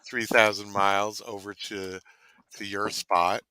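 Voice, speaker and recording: Low, bright-voiced man chatting casually. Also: flat delivery, a little slow, clear, resonant tone, rough recording. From Canada. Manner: rambling, trails off and restarts, savoring words. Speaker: co-host. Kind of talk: casual chat.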